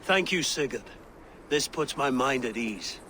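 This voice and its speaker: Slow-spoken, solemn new king. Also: mid-range voice, expressive, sharp tone, slight background noise. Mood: concerned, fatherly. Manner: measured, solemn, formal. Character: grateful newly crowned middle-aged king, weary father. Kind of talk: video game cutscene acting.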